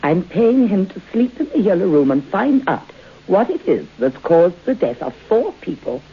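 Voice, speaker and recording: A haughty, willful mistress of a haunted house in a vintage radio play. Her voice is low and theatrical, with lively, commanding intonation, heard through a muffled, hissy broadcast. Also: moderate pace, husky, full character voice. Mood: sharp, commanding. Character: imperious, willful, mocking lady of a haunted house. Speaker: actor in radio drama. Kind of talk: old-time radio drama acting.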